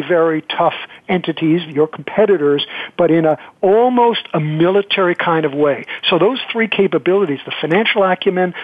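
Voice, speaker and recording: A man's voice: high voice, no room sound. Man on a phone-like line. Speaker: guest sales consultant. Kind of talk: explanatory interview talk. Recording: dull, thin phone-like line.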